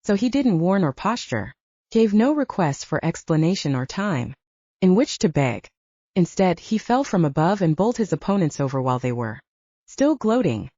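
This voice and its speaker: Woman reading an audiobook, mellow but really up and down, dry slightly dull recording. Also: on the low side, sing-song, moderate pace, no echo. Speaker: audiobook narrator. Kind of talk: scripted fiction narration.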